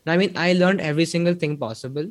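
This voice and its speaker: Young man, Indian English accent, guest on a video-call interview; easygoing, filler-heavy, slightly high voice. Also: racing, dry recording. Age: young adult. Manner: rambling, many fillers like "like" and "um". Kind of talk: casual interview chat.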